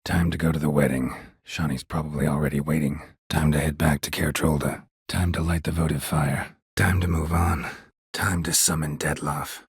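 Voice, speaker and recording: A gruff, dry-witted monster hunter for a fantasy video game. His voice is extremely deep and heavily rasped, recorded bone-dry. He speaks in clipped, deadpan phrases with a stoic temper. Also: moderate pace, dry recording, heavily acted. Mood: deadpan, matter-of-fact. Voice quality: gravelly, husky low voice.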